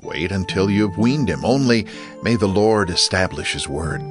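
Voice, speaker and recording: A male actor playing a gentle, supportive biblical husband in a dramatized scripture reading, earnest and accepting. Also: deep voice, animated, moderate pace, music in the background.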